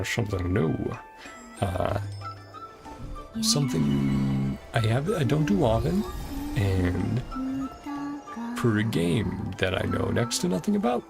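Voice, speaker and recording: Tired man with a heavily creaky voice. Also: fairly low pitch. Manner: hesitant, rambling, informal. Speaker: game streamer. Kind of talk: casual stream outro chat. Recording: music playing behind voice. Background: music.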